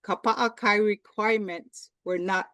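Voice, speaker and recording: A woman from Hawaii speaks before a hearing board, deliberate and earnest as she lays out her points. Her voice sits in a middle range. It is recorded close and dry. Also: mid-range voice, fairly even intonation, bright, forceful tone, no echo. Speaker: public testifier. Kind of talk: formal public testimony.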